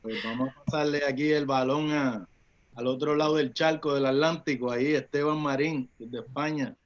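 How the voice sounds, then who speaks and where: A man moderates a panel in a loose, folksy manner, filling gaps with casual asides. His voice sits at a middle pitch. The recording has a dull, lo-fi tone. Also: steady tone, normal speed. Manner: loose, folksy, many fillers. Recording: dull lo-fi audio.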